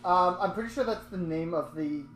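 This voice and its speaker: A man's voice: sits high, a little fast, fairly bright tone, echoey room, rough recording. A man co-hosting a casual group comic reading in an easygoing, chatty way. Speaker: podcast co-host.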